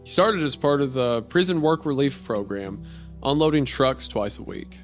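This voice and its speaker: A man reading as a deadpan gas station clerk, telling a strange story in the first person in a dry way. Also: mid-range voice, moderate pace, lightly acted. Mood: dry, matter-of-fact. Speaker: podcast host reading a horror story aloud. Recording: music behind the voice.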